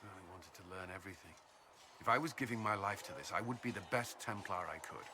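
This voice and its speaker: Man acting a low-voiced, earnest fantasy commander. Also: noisy background, close to an everyday voice. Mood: reflective, sincere. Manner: hesitant, halting when flustered. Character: earnest, dutiful male military commander and former Templar. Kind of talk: fantasy video game dialogue.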